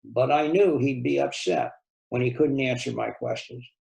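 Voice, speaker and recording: Guy reading a story out loud, super creaky gravelly voice, echoey room. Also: medium pitch, fairly even intonation, moderate pace. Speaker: volunteer story reader. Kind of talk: scripted narration, reading a novel aloud.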